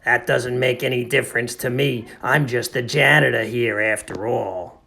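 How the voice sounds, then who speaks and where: A man's voice: mid-range voice, fairly even intonation, normal speed, gravelly, bright, forceful tone. A man voicing a huffy, unbothered male book janitor in a children's story, grumbling and stubborn.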